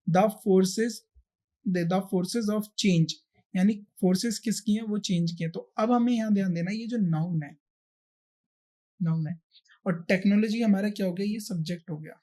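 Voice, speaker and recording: A woman's voice: mid-range voice, mellow. Indian woman teaching, step by step. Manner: repetitive step-by-step explaining, frequent check questions. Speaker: exam-prep English grammar teacher. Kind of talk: explanatory lesson delivery, mixing Hindi and English.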